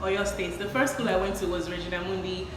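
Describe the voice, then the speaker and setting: A woman's voice: lowish voice, steady tone, a little fast. Young Nigerian woman hosting, echoey room. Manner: teasing, laughs often. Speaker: vlogger host. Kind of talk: casual chat with friends.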